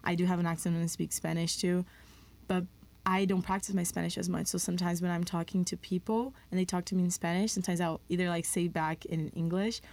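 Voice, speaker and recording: A young woman from Brazil whose first language is Portuguese, speaking reflectively in a podcast conversation with many pauses and fillers. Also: fairly low pitch, fairly even intonation, darkish tone.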